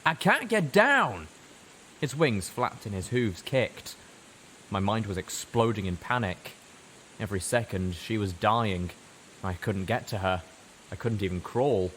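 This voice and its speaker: Low-voiced man narrating dramatic fiction. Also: unhurried, low-quality recording. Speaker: story narrator. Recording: faint background hiss.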